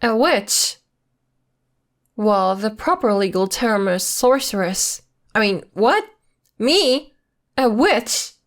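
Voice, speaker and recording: A woman's voice: medium pitch, animated, takes it slowly, pauses often. A woman acting in an ASMR roleplay as a playful, evasive girlfriend who is secretly a witch, talking intimately in a coy, teasing, casual way. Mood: playfully defensive. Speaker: voice actor.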